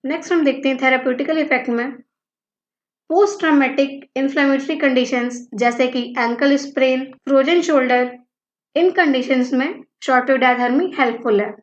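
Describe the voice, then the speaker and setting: A woman's voice: sits high, moderate pace. A woman with an Indian accent reads a scripted educational narration in an instructional manner, as a physiotherapy lecturer. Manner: mixes Hindi and English, instructional. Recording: dull lo-fi audio, roomy echo.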